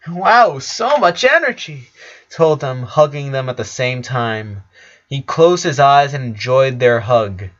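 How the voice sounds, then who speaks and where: A man's voice: medium pitch, unhurried. Man narrating a story, muffled recording. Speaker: fan fiction reader. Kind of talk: scripted story narration with character voices.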